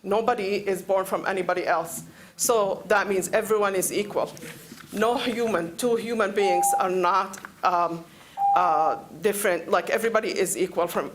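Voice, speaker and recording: A woman in her forties from Palestine with a Palestinian Arabic accent, making an unscripted plea at a council meeting, halting and often searching for words. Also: mid-range voice, a little hiss or hum, poor audio. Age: 40s. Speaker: public commenter at a council meeting. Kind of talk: unscripted public speech, pleading.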